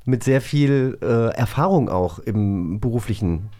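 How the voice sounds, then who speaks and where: A man's voice: mid-range voice, lively intonation, measured pace, warm tone. A man co-hosting a podcast in casual chat, with a teasing, bantering manner. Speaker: podcast co-host.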